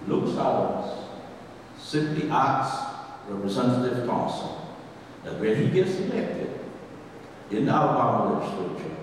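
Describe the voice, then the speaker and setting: A man's voice: mid-range voice, slight background noise. A man from Guyana with a Guyanese accent, giving a folksy, conversational history lecture to an audience and asking them questions. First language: English. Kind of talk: public history presentation. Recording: distant, reverberant hall recording.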